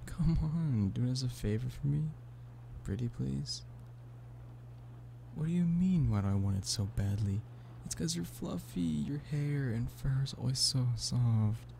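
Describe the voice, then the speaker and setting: A man's voice: medium pitch, unhurried, gravelly. A man playing an affectionate, playful boyfriend in an ASMR roleplay, teasing and pleading in an intimate one-sided conversation. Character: playful, affectionate human boyfriend coaxing a stubborn cat-person. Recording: steady background noise of rain. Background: rain.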